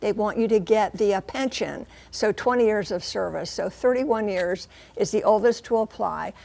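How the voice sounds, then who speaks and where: An American woman reporting and explaining the news on television, with emphatic, deliberate phrasing. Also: mid-range voice, creaky, darkish tone.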